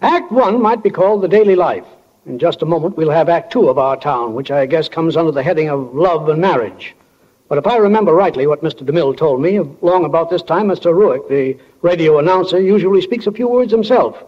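A man's voice: fairly high pitch, expressive, brisk. A man playing a folksy, plainspoken small-town narrator on 1940s radio. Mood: easygoing. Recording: dull 1940 radio recording with music.

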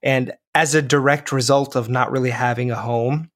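A man's voice: mid-range voice, steady tone, moderate pace. A man originally from Egypt, a podcast guest telling stories in an easygoing way and laughing often. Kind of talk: casual interview chat.

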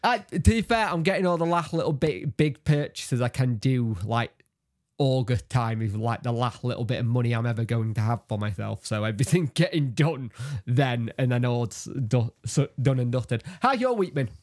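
A man's voice: mid-range voice, lively intonation, normal speed, a little mellow. An English man in casual podcast chat, self-mocking and acting out his own thoughts. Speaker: podcast co-host.

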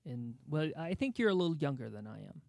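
A man's voice: on the high side, very animated, a little fast, vocal fry, a little mellow, dry recording, clean studio sound. A man in his forties hosting a casual comedic chat show, chatty and laughing often, with lots of fillers. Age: 40s. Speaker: podcast host.

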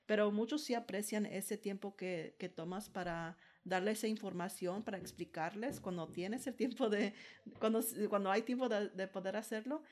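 A woman's voice: mid-range voice, normal speed, darkish tone. Woman podcast host, dental hygienist, talks through patient stories, tosses in english words. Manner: explains with many tag questions, mixes in English. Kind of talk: conversational interview.

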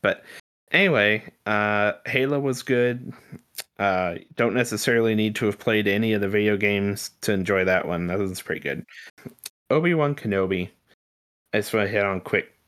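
A man's voice: lowish voice, normal speed, a bit bright, clean tone. A man co-hosting a podcast, chatting about film and TV in an informal, rambling way with casual swearing. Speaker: podcast host.

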